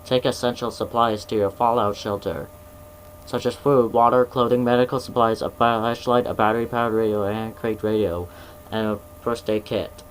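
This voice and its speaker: A man playing a grave emergency alert announcer, reading scripted warnings in a formal, measured way over a noisy broadcast. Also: lowish voice, steady tone, lightly acted. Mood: solemn, instructive.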